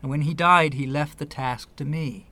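Patient young man, fairly high voice. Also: steady tone, normal speed, bright, forceful tone. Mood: earnest. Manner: placating, earnest. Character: patient, persistent young man on a family errand. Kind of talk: radio play acting.

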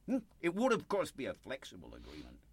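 A man acting in a radio play as a needy, anxious small-business hopeful, halting and nervously insistent in naturalistic dialogue. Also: medium pitch, huge pitch swings, slight background noise. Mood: tentative, wheedling.

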